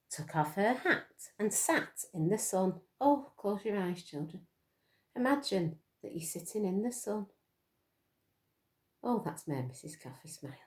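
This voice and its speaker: A woman's voice: mid-range voice, darkish tone. A woman reading a picture book aloud to young children, expressively, with questions to her listeners. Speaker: storytime reader for children.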